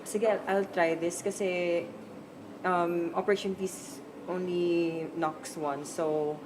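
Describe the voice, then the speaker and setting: A young Filipino woman in her twenties answers interview questions in a poised, composed way, with a slightly low voice. Noticeable background noise runs under the mic, and she moves between English and Tagalog. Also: fairly even intonation, slow pace. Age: 20s. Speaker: pageant runner-up, freelance host.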